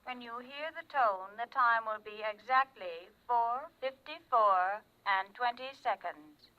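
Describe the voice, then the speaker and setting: Muffled telephone time operator. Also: female voice, on the high side, unhurried. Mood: impersonal. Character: impersonal female telephone time-announcement operator. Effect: telephone filter. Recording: dull muffled telephone-line sound.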